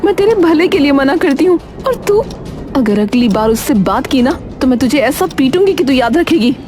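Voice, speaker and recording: Cartoon mother, played by a female narrator: high, very animated, fretful, protective and emphatic, music underneath. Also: moderate pace. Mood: angry scolding. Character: anxious, scolding young mother, fiercely protective of her daughter. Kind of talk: animated cartoon story dialogue.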